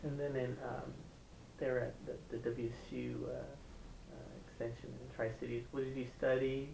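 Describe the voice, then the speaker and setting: A man conducting an oral history interview, his voice flat and gravelly. He asks questions haltingly, stumbling over fillers and restarts, recorded in an echoey room with clear background noise. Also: mid-range voice.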